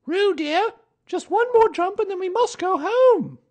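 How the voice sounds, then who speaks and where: Kanga, a man doing a shrill, fussy mother kangaroo. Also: lively intonation, darkish tone. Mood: fussing. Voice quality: high, squeaky falsetto. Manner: brisk, distracted, ignoring others. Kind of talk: storybook reading.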